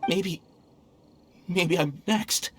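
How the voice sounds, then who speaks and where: A man voicing a frightened miner in a video game, halting and anxious in his speech. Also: high voice, a little hiss or hum. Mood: shaken. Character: frightened, rattled male miner.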